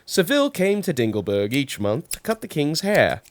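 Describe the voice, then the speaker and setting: A man reading a children's storybook aloud as an expressive narrator. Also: fairly high pitch, moderate pace, no echo. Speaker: storybook reader.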